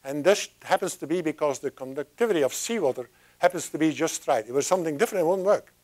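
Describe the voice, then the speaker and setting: A man's voice: fairly high pitch, animated, fast, a little mellow. An older man at a podium, giving a chatty science talk with frequent restarts and asides. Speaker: guest speaker. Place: lecture room at a podium. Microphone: lapel mic.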